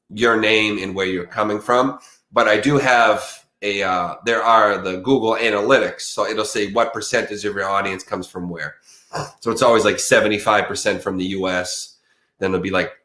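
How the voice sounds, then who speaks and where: A man chatting loosely with his viewers on a crime livestream, sounding a bit stuffed up from allergies. His voice sits on the low side and bounces around a roomy space, and he loses his thread and restarts often. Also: fairly bright tone. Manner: rambling, many fillers and restarts. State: slightly congested from allergies. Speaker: true crime channel host. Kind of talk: casual livestream chat with viewers.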